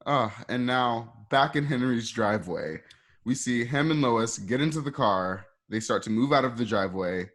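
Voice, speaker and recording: A man's voice: mid-range voice, lively intonation, normal speed, a bit bright. A man narrating screenplay action for a radio play in an informal, hesitant way, with conversational asides. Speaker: narrator reading stage directions.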